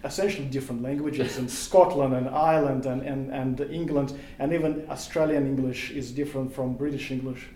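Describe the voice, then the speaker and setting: A man with a Russian accent, his first language Russian, answers as a podcast guest, slowly building his thoughts and circling back to restart phrases. His voice sits in an ordinary middle register. The recording has a noticeably echoey room sound. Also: medium pitch, steady tone, warm tone. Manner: thoughtful, hesitant, searches for words with many restarts. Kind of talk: casual podcast interview.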